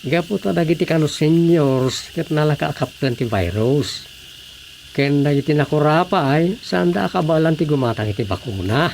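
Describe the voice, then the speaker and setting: A man's voice: fairly high pitch, lively intonation, normal speed, gravelly, darkish tone, slight background noise. A man acting a folksy, exclamatory village councilor in a comedy radio drama, with an Ilocano accent. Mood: explaining.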